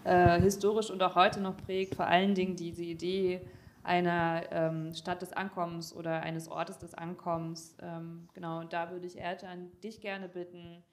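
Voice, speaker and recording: Woman moderating a panel, lowish flat voice, bit of background noise. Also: normal speed. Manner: many fillers. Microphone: handheld mic.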